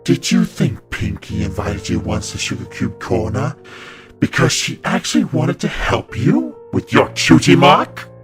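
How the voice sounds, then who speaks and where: A man playing an evil ancient winged serpent spirit in a cartoon comic dub, gloating and mocking with theatrical delivery. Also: medium pitch. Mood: taunting.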